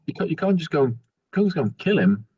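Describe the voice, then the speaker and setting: A man playing a tabletop game, roleplaying casually in a halting, questioning way on a muffled microphone. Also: fairly high pitch, sing-song, husky, no echo. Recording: dull muffled close microphone.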